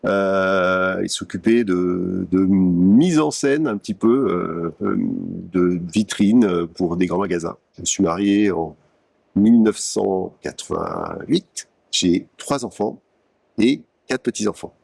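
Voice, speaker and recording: A man in his sixties from Paris, a company executive telling his career story in an interview, measured and pausing with many fillers. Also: deep voice, gravelly, darkish tone, no echo. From France (Paris). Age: 60s. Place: company showroom.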